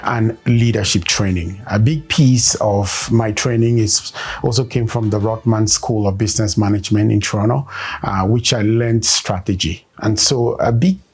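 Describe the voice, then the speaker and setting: A man from Cameroon, a performance consultant and coach, giving measured interview answers with many pauses and fillers. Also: lowish voice, husky.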